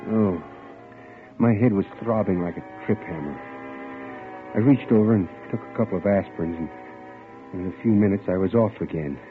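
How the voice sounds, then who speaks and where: A man's voice: low voice, moderate pace, pauses often, close to an everyday voice. An actor playing an earnest, polite bank clerk who narrates his own story and stammers when he gets flustered. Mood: narrating. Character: earnest male bank clerk hunting his missing fiancée. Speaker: narrator and lead. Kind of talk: 1940s radio acting. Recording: tinny old radio broadcast with music.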